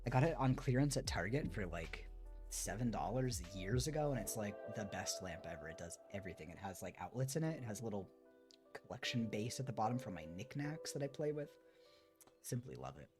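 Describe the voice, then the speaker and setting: A man's voice: fairly low pitch, sing-song, fairly quick, music in the background. A man on a livestream, moving between reading a book aloud and chatting casually with listeners. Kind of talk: read-aloud storytelling mixed with casual chat on a livestream.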